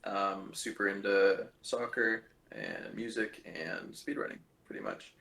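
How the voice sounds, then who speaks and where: A man's voice: deep voice, big pitch swings, unhurried, gravelly, pushed and bright, breathy edge. A man from Canada chatting casually as a podcast guest, laid-back and informal. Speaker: podcast guest, event organiser. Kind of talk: casual chat.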